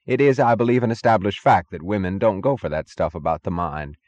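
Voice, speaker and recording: A man's voice: low voice, a little fast, darkish tone, no room sound, lo-fi sound, natural voice. A man voicing a self-assured, opinionated woman who makes pompous declarations in an audiobook novel.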